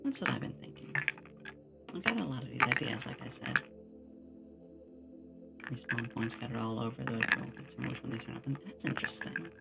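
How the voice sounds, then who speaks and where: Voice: medium pitch, expressive, brisk, vocal fry, pauses often, over loud music, low-quality recording. A streamer chatting casually with viewers in an ASMR stream, rambling along like a storyteller. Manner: rambling storyteller, acts out short quotes. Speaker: streamer, VTuber host.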